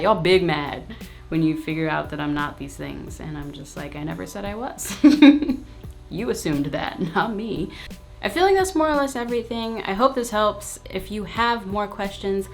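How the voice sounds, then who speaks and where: A woman hosting her own video channel, answering viewers' questions in a frank, casual, slangy way. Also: low voice. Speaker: YouTube host. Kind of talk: casual Q&A vlog.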